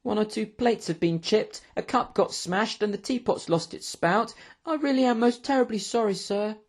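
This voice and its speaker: High-pitched nervous young waiter. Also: male voice, fairly even intonation, a little fast. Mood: nervous, pleading. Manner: meek, pleading, flustered.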